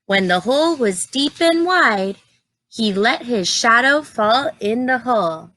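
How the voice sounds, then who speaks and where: A woman reads a picture book to young children in a slow, deliberate storytelling style. Her voice is bright and fairly high. She is expressive and breaks off to explain things and put simple questions to her listeners. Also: poor audio. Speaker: teacher reading aloud to young children.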